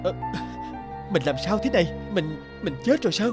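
A man voicing an earnest, expressive young office worker in a cartoon, with music underneath. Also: sits high, normal speed. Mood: distressed, pleading. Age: young adult. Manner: expressive, dramatic. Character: earnest young office manager, groom-to-be, easily distressed. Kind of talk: cartoon dubbing.

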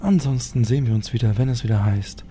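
A man's voice: medium pitch, quick pace, warm tone. A man giving casual let's play commentary in a laid-back, musing manner.

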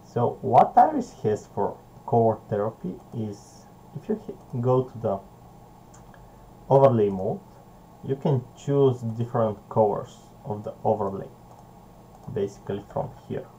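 A young man with a Bulgarian accent, not a native English speaker, leading a screen-recorded software tutorial in a halting way, with fillers and soft laughs. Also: medium pitch, animated. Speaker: software company founder. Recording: dull, lo-fi home recording with faint hiss.

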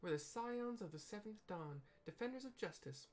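A man's voice: high voice, lively intonation, normal speed, a little mellow, pitched above the performer's own voice. A man voicing an earnest, cheery young female adventurer recruiter in a fantasy game, with formal, eager phrasing. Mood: earnest, inviting.

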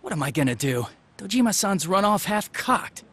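A man's voice: high voice, very animated, normal speed, a bit bright, breathy edge, heavily acted. A man playing a nervous, whiny young police detective in a video game, protesting in a flustered way.

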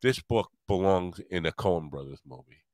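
A middle-aged American man hosting a casual interview, talking in a halting, rambling way close to a studio microphone. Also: low-pitched, animated, a little mellow, no room sound. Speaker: podcast host. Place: home studio. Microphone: microphone on stand close to mouth, headset.

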